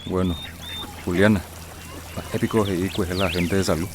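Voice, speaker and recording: A man's voice: deep voice, fairly even intonation, unhurried, noisy background. An actor playing a talkative, opinionated village man in a radio drama, chatting in a gossipy way.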